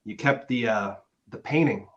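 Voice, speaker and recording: A man playing an affectionate, gently pressing boyfriend in a naturalistic script reading, conversational and a little hesitant. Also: medium pitch, normal speed, highs cut off, close to an everyday voice.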